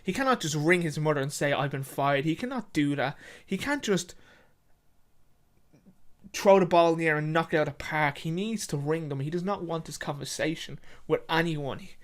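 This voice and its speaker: A storyteller reads a short story in a dramatic way. The voice sits at a medium pitch. The delivery is emphatic and repetitive, with an occasional stumble over the words.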